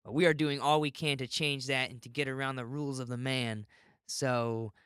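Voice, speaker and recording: A man's voice: medium pitch, steady tone, moderate pace, a bit bright, clear, resonant tone, no echo, studio quality. A man hosting a podcast in a casual, rambling monologue, informal and given to ranting and swearing. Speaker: podcast host.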